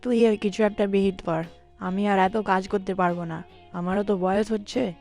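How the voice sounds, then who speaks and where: Lady playing a complaining village woman in a cartoon, medium pitch, soft music behind. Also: steady tone, moderate pace, natural voice. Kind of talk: scripted cartoon dialogue.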